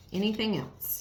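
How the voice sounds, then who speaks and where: A woman with a raspy, worn voice giving a casual tarot reading, talking frankly and straight to the viewer. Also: medium pitch, noisy background. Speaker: tarot reader. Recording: roomy echo.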